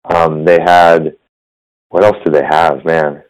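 A slightly hoarse man hosting a casual tech podcast over a phone line, rambling with fillers and restarts. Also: a bass voice, little pitch movement, takes it slowly. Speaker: podcast host. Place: business center. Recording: phone-quality audio.

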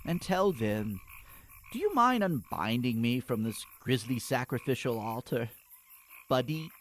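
A man acts out a gloomy young boy poet in a comedic radio play. His wording is prim and deadpan, yet the pitch moves animatedly. Some noise sits behind the voice. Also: measured pace. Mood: dry, calm. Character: morose, wry young boy poet, dryly reasonable.

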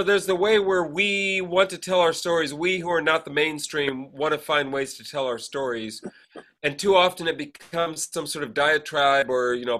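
A man with a slightly high, bright and forceful voice co-hosts a casual podcast. He talks in a digressive way, pausing and hesitating as he wanders from one aside to the next. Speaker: podcast co-host. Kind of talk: casual chat, rambling birthday shout-outs.

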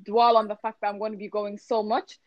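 A woman's voice: medium pitch, flat delivery, brisk, dry recording, lo-fi sound. A woman in her twenties from Ireland with a neutral, slightly American-tinged accent, chatting reflectively about university life with a friend. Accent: neutral, slightly American-tinged Irish English. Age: 20s. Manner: chatty, reflective, with many fillers. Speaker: university student. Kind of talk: casual chat between friends.

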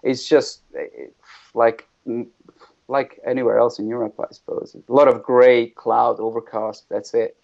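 A man's voice: medium pitch, little pitch movement, a little slow, creaky, darkish tone. A man from Bosnia, a guest concept artist, talking in a casual interview with many restarts and repeated words. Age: middle-aged. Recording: lo-fi, muffled audio.

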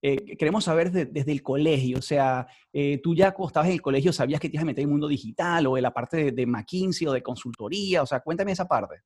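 Quick, husky Venezuelan male interviewer. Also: on the high side, darkish tone. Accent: Venezuelan Spanish. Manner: informal, mixes in English words. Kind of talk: casual, chatty interview.

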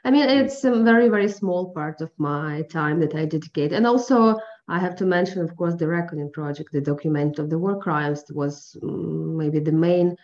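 Soft-spoken Ukrainian woman on a dull line. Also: sits low, normal speed, few pauses. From eastern Ukraine. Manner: careful, searching for words with many fillers. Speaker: journalist guest. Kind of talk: interview on a phone line. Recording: dull phone-line audio.

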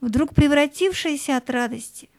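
High, mellow female storyteller. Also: normal speed, no echo, studio quality. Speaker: narrator. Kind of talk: expressive scripted storybook narration.

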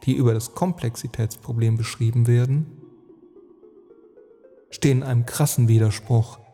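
A man delivering a measured scripted monologue in formal, academic, long sentences. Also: medium pitch, steady tone, warm tone, big-room sound. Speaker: narrator giving an essay on climate. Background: music.

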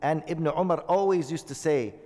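A man's voice: a little high, normal speed, a little mellow, in a large hall. A man giving a religious lesson to a congregation, explaining Arabic terms and asking his listeners questions. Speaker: Islamic teacher.

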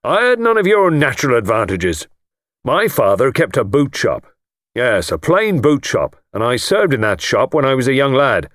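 A man's voice: mid-range voice, huge pitch swings, normal speed, a bit bright, dry recording, clean studio sound, voice pushed up. A man voicing a pompous, boastful self-made older lord who talks in a blustering, self-satisfied way.

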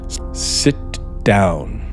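Desperate, menacing scriptwriter. Measured delivery, dramatic pauses, vintage radio suspense with underscore. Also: male voice. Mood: bitter, brooding. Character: embittered, desperate male radio scriptwriter holding a gun. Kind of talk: 1950s radio suspense acting. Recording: 1950s radio broadcast, music behind.

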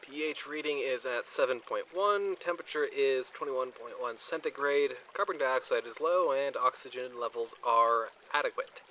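An actor in an audio drama playing an eager, cheeky junior scientist. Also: male voice, mid-range voice, normal speed, few pauses, bright, forceful tone, a little hiss or hum, lightly acted, low-quality recording. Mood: eager, businesslike.

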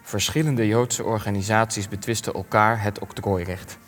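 Man acting weary old war veteran, low-voiced, echoing. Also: steady tone, a bit bright, faint music behind, natural voice. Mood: grave, halting. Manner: deliberate, rhetorical, halting with hesitations. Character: weary, defensive elderly war veteran being interviewed. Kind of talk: Dutch radio play acting. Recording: long reverberant hall.

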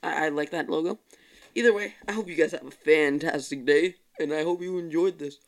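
A man's voice: on the high side, fairly quick, creaky. A tired young man hosting his own podcast, chatting casually in a rambling way and often correcting himself. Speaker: podcast host. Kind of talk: casual solo podcast chat.

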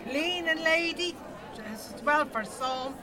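A combative Dublin bingo checker with a very high voice. She is blunt, wary and quick to threaten, in a radio play with a crowd behind her. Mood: suspicious, threatening. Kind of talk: radio drama acting.

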